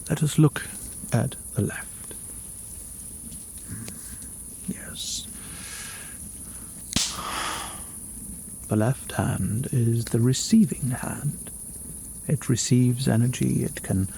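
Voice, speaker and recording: Guy doing a caring mage asmr roleplay, real slow n soft, bit of fry in the voice, crickets faintly behind. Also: mid-range voice, a little hiss or hum. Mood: calm, instructive. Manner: soft-spoken, soothing, unhurried, often dropping toward a whisper. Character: gentle, caring male mage healing a weary traveler. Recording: faint nighttime cricket ambience.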